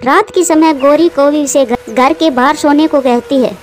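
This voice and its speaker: A woman narrates a scripted story for children. Her voice is very high, and music plays behind her narration. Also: moderate pace.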